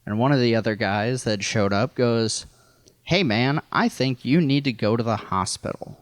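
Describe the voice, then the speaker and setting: A man's voice: medium pitch, moderate pace, dry recording. An American man from rural Missouri telling a personal story casually as a podcast guest, in a wry, self-deprecating, profane way. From rural Missouri, United States.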